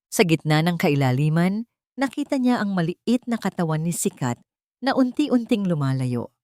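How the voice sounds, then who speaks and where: A woman's voice: low-pitched, darkish tone, no echo, studio quality. A woman narrating a dramatic scripted love story in a solemn, melodramatic manner.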